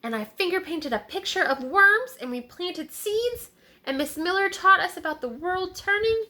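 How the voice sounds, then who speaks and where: A woman's voice: very high voice, lively intonation, normal speed, bright, forceful tone, voice pushed up, exaggerated voice. A woman voicing a proud, eager little kindergarten boy in a children's storybook read-aloud. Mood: eager, excited.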